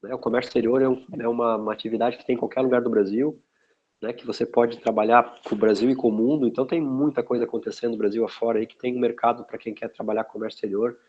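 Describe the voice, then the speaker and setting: A man's voice: medium pitch, steady tone, fast talker. A man from São Paulo with a São Paulo accent, talking as a guest in a casual online interview, rambling and restarting often. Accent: São Paulo Portuguese. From São Paulo, Brazil. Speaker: guest, logistics specialist. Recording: muffled lo-fi sound.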